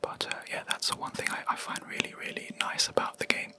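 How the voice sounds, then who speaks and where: A young adult video creator, whispering a rambling, hesitant gaming chat close to the mic in a quiet room. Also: brisk. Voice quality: soft unvoiced whisper throughout. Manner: rambling, hesitant, with many ums and self-corrections.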